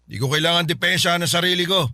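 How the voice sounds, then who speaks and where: A blustering husband who denies everything in a Tagalog radio drama. His voice is high for a man, very sharp and quick, scoffing and defensive. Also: dry recording, studio quality, heavily acted. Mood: indignant. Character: indignant, evasive older husband denying an affair.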